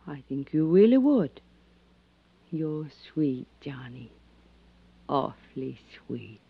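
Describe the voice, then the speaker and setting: A woman's voice: deep voice, big pitch swings, slow, vocal fry, breathy edge, no room sound, full character voice. An actress playing a shrewd, seductive, hard-headed businesswoman in a 1950s radio drama, coy and intimate in manner. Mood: soft, intimate. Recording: dull, dry 1950s radio recording.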